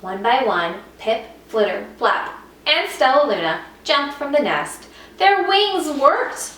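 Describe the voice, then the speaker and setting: A woman reading a children's picture book aloud as a teacher would, with expressive, dramatic emphasis. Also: fairly high pitch, a little slow. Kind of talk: expressive scripted storybook narration. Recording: echoey room, distant mic, faint noise.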